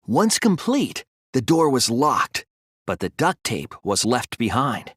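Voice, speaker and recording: A man voicing an earnest young male detective in a video game, thoughtful and anguished but resolute, with deliberate, analytical phrasing. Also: mid-range voice, very animated, a bit bright, slightly rough, dry recording, studio quality, heavily acted. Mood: analytical, serious. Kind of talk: dramatic video game voice acting, deductive explanation.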